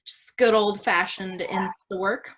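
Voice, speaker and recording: A woman's voice: medium pitch, fairly even intonation, a little slow, fairly bright tone. An actress in a staged play reading, playing a smug, controlling woman who is composed and self-satisfied as she directs others. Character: smug, controlling woman, second-in-command of a scheme.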